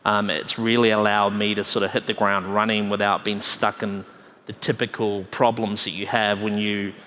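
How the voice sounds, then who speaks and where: A man with a New Zealand accent gives panel remarks in a bright, forceful voice that stays fairly even in pitch. He is an executive speaking in a reverberant hall. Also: normal speed. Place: large summit hall on stage. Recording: echoey hall, background noise.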